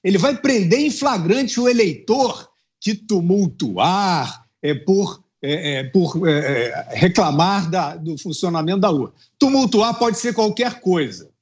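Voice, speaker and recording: Indignant high-voiced middle-aged man. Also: lively intonation, unhurried, muffled recording. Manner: indignant, insistent, many hesitations and tag questions. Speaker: political commentator. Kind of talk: opinion commentary on a news show. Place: indoor home room. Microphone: earphones with mic on a video call.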